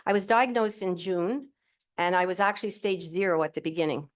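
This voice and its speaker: A woman's voice: fairly low pitch, a little fast. A middle-aged woman telling her own story as a panel guest at a live event, cheerful, candid and rambling, speaking into a handheld microphone. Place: event hall with audience.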